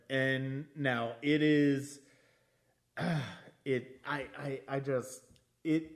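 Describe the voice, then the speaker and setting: A man with a mid-range voice hosts a casual movie podcast. He talks very slowly and haltingly, often circling back to rework a phrase as he goes. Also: mid-range voice. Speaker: podcast host. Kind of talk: casual film discussion.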